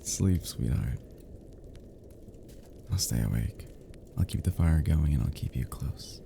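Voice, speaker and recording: A man playing a tender, flirty best friend in an intimate ASMR roleplay, murmuring softly and affectionately as he soothes the listener to sleep. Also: rumbling low, animated, takes it slowly, vocal fry, noisy background, voice pushed down, full character voice. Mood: soothing, sleepy. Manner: soft, murmuring, gently teasing, affectionate.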